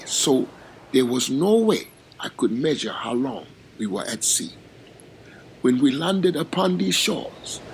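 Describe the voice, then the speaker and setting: A man playing an enslaved African boatman who recounts his capture in a slow, formal, solemn monologue. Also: fairly high pitch, a little hiss or hum, heavily acted, low-quality recording. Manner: slow, measured, formal speech with grave pauses. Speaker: narrator in character. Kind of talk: dramatic first-person monologue.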